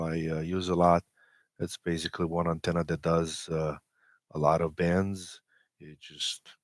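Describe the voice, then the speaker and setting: A man from Romania with a deep, low voice, recorded close and dry. He speaks as an interview guest in casual technical talk, keen on his subject, often halting as he finds his words. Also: no room sound. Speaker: interview guest, amateur radio expeditioner.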